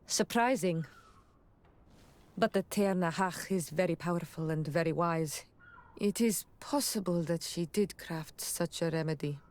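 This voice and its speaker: Composed, low-voiced island woman played by an actress. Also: a little slow, a little mellow, natural voice. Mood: reserved. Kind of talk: scripted game cutscene acting.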